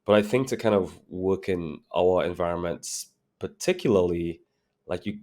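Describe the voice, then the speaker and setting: A man from Trinidad and Tobago, a creative director and interview guest, talking in a relaxed, reflective way with many fillers. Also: low voice, moderate pace. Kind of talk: casual interview conversation.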